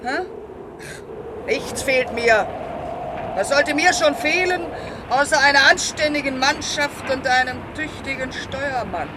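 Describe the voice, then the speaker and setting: An actress playing a bossy, gruff female whaling captain with a pompously poetic streak in a satirical radio play. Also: high voice, a little slow, background noise. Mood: solemn, sentimental.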